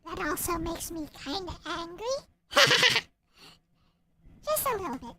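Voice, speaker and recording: A woman with a small, childlike voice chatting casually and haltingly on a livestream about her own writing. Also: extremely high pitch, big pitch swings. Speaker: streamer and visual novel writer. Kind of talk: casual livestream chat showing own work. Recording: loud music behind the voice. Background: loud music and game sound effects.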